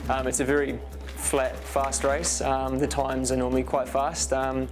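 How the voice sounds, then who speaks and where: Male runner, even flat tone, relaxed and halting. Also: medium pitch, over music. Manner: relaxed, many ums and restarts. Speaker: ultra runner interviewed. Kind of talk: interview answers.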